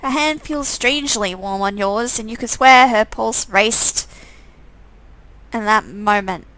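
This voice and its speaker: A woman's voice: a little high, unhurried, bright, forceful tone, low-quality recording. A woman reading a visual novel game aloud as its narrator, with a wry way of talking.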